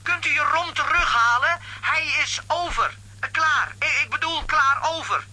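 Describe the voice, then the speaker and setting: Blustering, bright-voiced comic man. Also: very high-pitched, moderate pace, exaggerated voice. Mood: eager. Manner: blustering, stammering when caught out. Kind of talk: children's audio drama acting.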